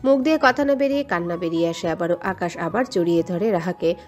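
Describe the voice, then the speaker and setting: A woman's voice: fairly low pitch, fast. A woman narrator reading a scripted romantic story. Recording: voice over a music bed.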